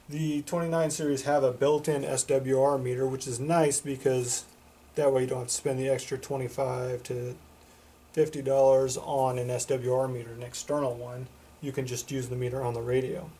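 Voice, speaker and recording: A man's voice: medium pitch, warm tone. A man giving a casual product demonstration as a reviewer, plain-spoken and matter-of-fact. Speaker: product reviewer for a CB radio shop.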